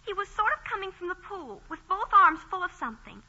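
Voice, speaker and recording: Eager, very high-pitched little sister. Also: female voice, big pitch swings, brisk, bright, forceful tone, full character voice. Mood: gossipy, insistent. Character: excitable, tattling young girl, the bride's kid sister. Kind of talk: 1940s radio acting. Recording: dull vintage radio recording.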